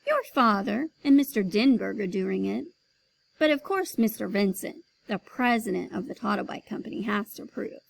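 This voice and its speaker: A woman's voice: medium pitch, lively intonation, moderate pace, gravelly, poor audio. A woman reading aloud, voicing a cheerful, polite young woman who works at an advertising agency.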